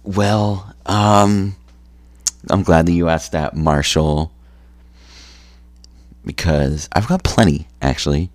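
A tired, phlegmy man hosting a solo podcast, talking slowly and rambling with many ums and restarts. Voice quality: gravelly, low voice. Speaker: podcast host.

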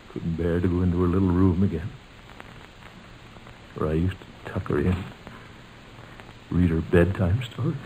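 An actor playing a pompous, jovial, sentimental middle-aged uncle in a 1940s radio comedy, with a theatrical delivery. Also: male voice, deep voice, mellow. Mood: wistful, wounded. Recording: noisy old-time radio recording.

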